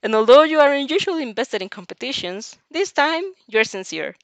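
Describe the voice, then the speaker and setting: A young woman streaming a casual read-aloud of fan fiction, giggly, animated and scattered in her talk. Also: high-pitched, fairly quick, no room sound.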